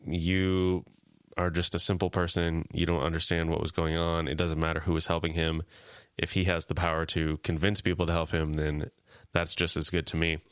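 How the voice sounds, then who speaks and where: Deep, smooth-voiced man retelling scenes. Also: completely flat delivery, normal speed, dry recording, studio quality. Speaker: podcast co-host. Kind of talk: casual chat.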